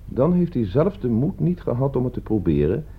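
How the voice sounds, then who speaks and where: A man's voice: medium pitch, big pitch swings, moderate pace, slightly rough. An actor playing a self-assured, precise man who can become rattled, in a radio play. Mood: cool, self-assured. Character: self-assured man named Loimu who proves his point, turning rattled. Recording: dull lo-fi radio play recording.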